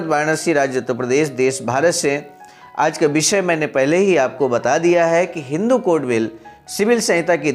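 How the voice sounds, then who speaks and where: A man presenting a channel's daily message, reading out and explaining a historical text and addressing his listeners as honored friends. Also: a little high. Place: center in Sarnath, Varanasi.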